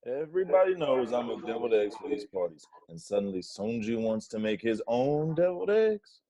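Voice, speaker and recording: A man's voice: medium pitch, very animated, brisk, crowd noise, close to an everyday voice. A man playing an indignant, competitive home cook in a comedy table read. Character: indignant, competitive young man proud of his cooking. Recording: muffled video call audio.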